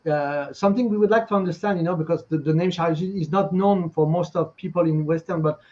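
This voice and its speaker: High-voiced male host, dull audio. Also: darkish tone. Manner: halting, repeats words, effusive. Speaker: interview host. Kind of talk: live online interview, effusive welcome.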